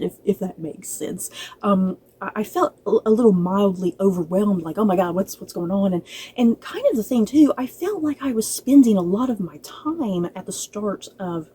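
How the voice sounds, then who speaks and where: A woman's voice: medium pitch, warm tone, slight background noise. A woman giving a casual, chatty spoken review, talking directly to her listeners in a conversational way. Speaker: book and film reviewer.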